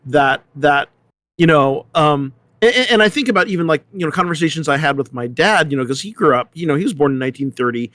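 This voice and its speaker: A man hosting a thoughtful discussion, speaking haltingly and repeating words as he works through an idea. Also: fairly high pitch, expressive, a bit bright, dry recording. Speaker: host moderating a panel discussion.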